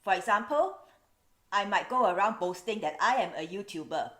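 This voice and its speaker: A woman in her forties from Singapore with a Singaporean English accent, explaining things in a measured, wry vlog. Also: medium pitch, animated, fairly bright tone. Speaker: video host. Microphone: camera's built-in mic.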